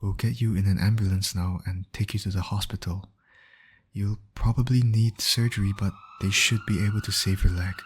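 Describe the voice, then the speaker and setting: A man's voice: fairly low pitch, steady tone, moderate pace, breathy edge. A man acting a caring field medic in an ASMR roleplay, speaking directly and reassuringly to an injured patient. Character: male field medic, calm, caring, urgent under pressure.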